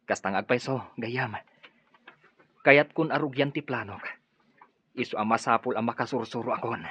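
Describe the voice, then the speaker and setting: Earnest humble man, medium pitch, raspy breathy tone, radio drama, muffled audio. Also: moderate pace, fairly bright tone. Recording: dull lo-fi audio.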